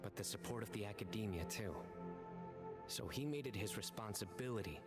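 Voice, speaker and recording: An actor playing a stern but sincere young officer in a video game, speaking in a measured, formal way. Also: male voice, lowish voice, lightly acted. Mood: analytical, serious. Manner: measured, formal, deliberate delivery. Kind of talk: scripted video game dialogue. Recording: loud game music behind. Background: game soundtrack music.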